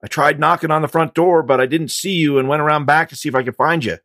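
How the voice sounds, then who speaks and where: A man's voice: fairly high pitch, fast talker, a bit bright, no room sound, pitched above the performer's own voice, lightly acted. A man voicing a nervous, polite male reporter in audiobook dialogue, calling out anxiously. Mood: alarmed, pleading.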